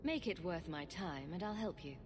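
A woman's voice: on the low side, expressive, normal speed, lightly acted. An actress playing a tough, wry mercenary woman in a fantasy video game, speaking bluntly. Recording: game audio with background noise.

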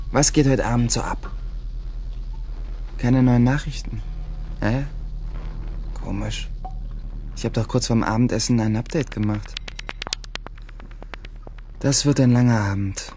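A man's voice: medium pitch, slow, long pauses, dark tone, a little hiss or hum, close to an everyday voice. An actor playing a cocky, computer-savvy teenage schoolboy who narrates his day in a radio drama. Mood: bored, puzzled.

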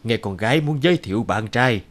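A man voicing a calm, dignified middle-aged father in a cartoon, humble and unhurried. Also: mid-range voice, lightly acted. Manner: measured, unhurried. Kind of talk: cartoon voice acting.

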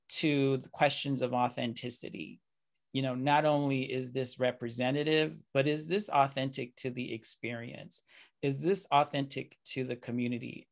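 A middle-aged man, his voice gravelly with a touch of fry, speaking at an ordinary middle pitch. He lectures in a measured, reflective way, pausing on "um" and "you know". He is heard through a webcam on a video call. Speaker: keynote speaker. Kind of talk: lecture over slides. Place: indoor room, video call.

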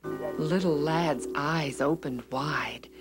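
A woman narrating a children's Bible story in an expressive, deliberate manner. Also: deep voice, loud music behind the voice.

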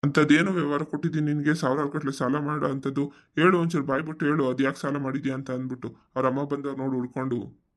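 Middle-aged village dad for cartoon drama, played by a man: slightly high voice, fast delivery, stern, reproachful questioner. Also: level tone, natural voice. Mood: stern, questioning. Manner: interrogating, reproachful. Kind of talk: family comedy drama for a cartoon story.

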